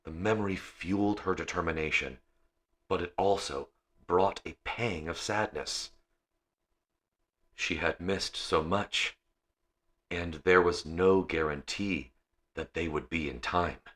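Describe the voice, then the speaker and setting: A man's voice: deep voice, slow pace, pauses often, fairly bright tone. A man narrating a science fiction bedtime story as an audiobook reader.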